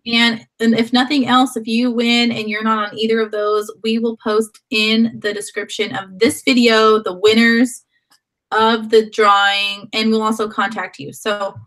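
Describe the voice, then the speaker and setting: A woman with a slightly high voice hosts a live online chat. She has a sore throat from a cold, and she rambles and restarts her sentences as she talks over a video call. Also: flat delivery, unhurried, fairly bright tone, low-quality recording. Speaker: host.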